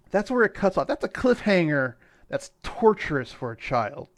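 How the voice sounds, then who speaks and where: A man's voice: sits high, sing-song, measured pace. A man co-hosting a podcast in casual comedic chat, with a jokey, mock-formal announcing manner and the odd swear. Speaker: podcast co-host.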